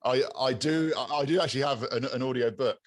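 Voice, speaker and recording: A British man with a low, raspy but clear voice, chatting as a podcast guest over a video call and often repeating words as he searches for them. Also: brisk, no echo, studio quality. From England. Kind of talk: casual interview chat.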